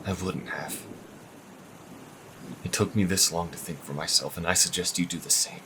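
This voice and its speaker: A man's voice: low-pitched, steady tone, normal speed, natural voice. A man playing a defiant healer pleading with his lover in an intense, emotional fantasy audio drama. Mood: quiet, resolute. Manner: intense, emotional, swinging from hushed to shouting. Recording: rain and thunder noise behind.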